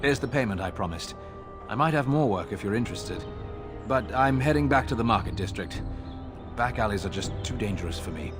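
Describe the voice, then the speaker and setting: A man's voice: on the low side, lively intonation, fairly quick, lightly acted. A man playing a weary, wry quest giver in a fantasy video game, with music behind him. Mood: wry, appreciative. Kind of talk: video game character dialogue.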